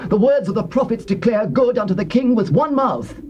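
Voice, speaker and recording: An actor playing an anxious royal messenger, pleading in lilting phrases in a dramatized Bible reading. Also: male voice, high voice, expressive, a little fast, darkish tone. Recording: muffled old cassette, faint noise.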